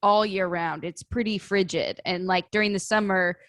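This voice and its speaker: A woman's voice: medium pitch, fairly even intonation, normal speed, a bit bright, dry recording. An American woman as a podcast guest, telling casual anecdotes with joking exaggeration. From Illinois, United States.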